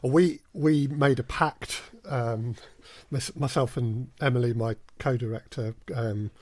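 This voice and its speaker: A man's voice: mid-range voice, fairly even intonation, measured pace, darkish tone, dry recording. A man speaking as an audio drama producer in an interview, with hesitant, stop-start phrasing. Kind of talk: interview conversation.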